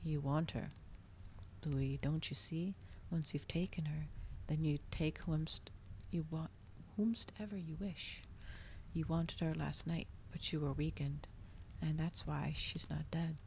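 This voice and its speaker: A woman voicing a calm, persuasive male vampire in a soft, coaxing ASMR story reading. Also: low voice, slow pace, gravelly, slight background noise, full character voice.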